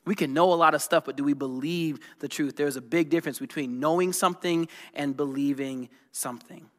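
A man in his thirties preaching a lively, conversational sermon, often asking the congregation questions. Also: a little high, a little fast. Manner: energetic, conversational, asks the crowd questions. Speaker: pastor. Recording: long reverb of a large hall.

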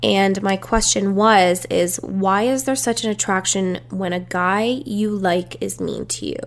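A woman's voice: mid-range voice, measured pace. A young woman hosting her own podcast, chatting casually and telling stories from her childhood while her voice sounds congested and stuffy from a runny nose. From Canada. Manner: chatty, confiding, storytelling. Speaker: podcast host. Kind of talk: casual solo podcast chat.

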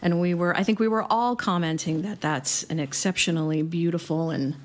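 A woman's voice: lowish voice, fairly quick. Woman radio host asking thoughtful questions. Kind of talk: casual radio interview.

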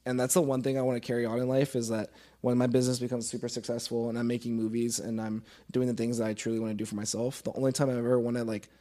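A man's voice: mid-range voice, level tone, racing, dark tone. A man in his early twenties talking casually and earnestly as a podcast guest, informal and given to swearing.

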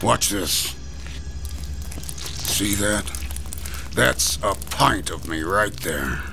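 An actor playing a chatty, folksy gravestone carver who sighs about the heat, in classic radio drama style. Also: male voice, lowish voice, expressive, extremely slow, gravelly, music in the background, exaggerated voice. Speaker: stonecutter.

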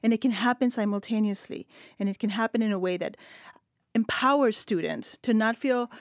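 A woman's voice: fairly high pitch, normal speed, dry recording, studio quality. A middle-aged woman from El Paso whose first language is Spanish, a literature professor speaking earnestly and reflectively in long flowing sentences on a panel. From El Paso, West Texas. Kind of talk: panel discussion.

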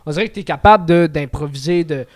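Hesitant Quebec French man, slightly high voice. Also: a little mellow. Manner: hesitant, fills every pause with "tu sais". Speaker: podcast host. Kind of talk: casual chat.

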